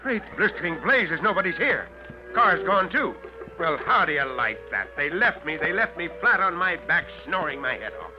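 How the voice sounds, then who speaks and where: Blustery elderly fire chief, high, swooping voice, vintage radio serial with music behind. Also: male voice, big pitch swings, moderate pace. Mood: indignant. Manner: blustering, shouting exclamations. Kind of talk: 1940s radio drama acting. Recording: muffled old radio recording, music behind.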